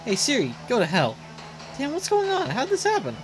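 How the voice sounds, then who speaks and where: Woman voicing a haughty anime villainess over loud music. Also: sits high, huge pitch swings, a little fast. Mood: indignant, wounded. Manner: cool and sardonic, flaring into indignation. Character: haughty, sarcastic young female villain, obsessive swimsuit performer. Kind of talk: voiced reading of anime game dialogue. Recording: loud game music behind voice.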